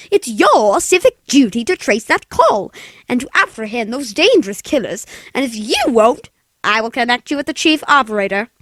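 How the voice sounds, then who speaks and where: A man's voice: extremely high pitch, fairly bright tone, no room sound, heavily acted. A man voicing a demanding, hysterical wealthy invalid woman in an old radio play, imperious and exasperated. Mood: indignant demanding. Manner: imperious, exasperated, breathless urgency. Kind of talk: 1940s radio play acting.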